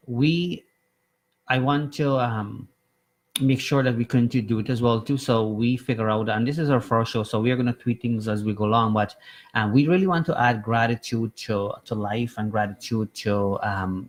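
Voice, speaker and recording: Male co-host, softly encouraging, filler-heavy, casual. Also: medium pitch. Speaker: talk show co-host. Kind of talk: casual interview chat.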